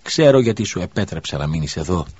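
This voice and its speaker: A man's voice: sits low, lively intonation, a little mellow, husky. A man voicing a desperate widowed mother who pleads and wails in grief, in a dull lo-fi recording. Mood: pleading. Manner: pleading, then wailing curses. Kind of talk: audiobook character voice.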